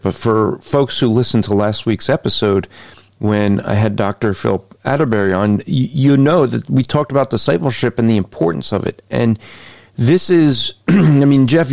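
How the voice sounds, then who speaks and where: A man's voice: mid-range voice, vocal fry, darkish tone, dry recording. A man hosting an interview podcast, talking in an earnest, explanatory way. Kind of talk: conversational interview.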